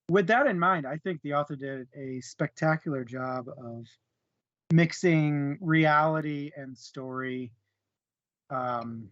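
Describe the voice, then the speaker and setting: Male discussion panelist, reflective and opinionated, very unhurried, dry lo-fi recording. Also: medium pitch, dry recording. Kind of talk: casual book discussion.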